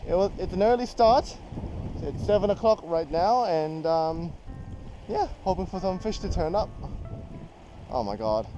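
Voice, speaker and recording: A man from New Zealand giving casual, excitable running commentary while fishing outdoors on a rocky jetty, recorded on a head-mounted action camera. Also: high voice, measured pace, lo-fi sound, soft background music. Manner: chatty, excitable, thinks aloud. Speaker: fishing vlogger.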